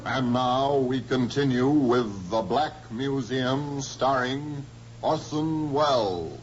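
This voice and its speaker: A man's voice: medium pitch, slow. A man announcing in the style of an old-time radio show. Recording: muffled, very noisy old radio recording.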